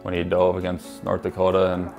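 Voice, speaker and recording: A man's voice: low-pitched, monotone, fairly quick, vocal fry. A young man with an American Upper Midwest accent, speaking casually in an interview in a matter-of-fact, understated way. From rural southern Minnesota, USA. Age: young adult. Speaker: college hockey player. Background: guitar music bed.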